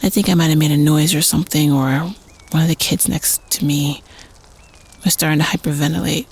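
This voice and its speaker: A woman playing a teenage prep-school student telling a spooky campfire story casually in an audio roleplay, conspiratorial and at times hushed. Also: deep voice, steady tone, a little fast, husky. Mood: eerie, suspenseful.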